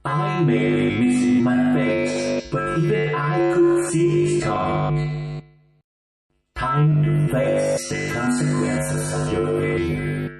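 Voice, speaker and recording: A woman voicing a vengeful faceless animatronic villain, creaky, slow, taunting and theatrical. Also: rumbling low, huge pitch swings, full character voice. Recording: echo, loud music behind.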